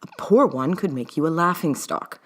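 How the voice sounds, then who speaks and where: Woman as male fashion photographer, low voice, measured, diplomatic, patronizing patience, audiobook character. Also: animated. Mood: patient, condescending.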